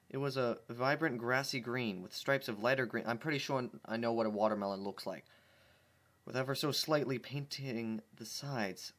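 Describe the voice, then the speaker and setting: A man's voice: mid-range voice, a bit bright. A man reading fan fiction aloud in a casual, wry way, tripping over words and breaking off into joking asides. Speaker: fanfiction reader. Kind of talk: casual dramatic reading with commentary.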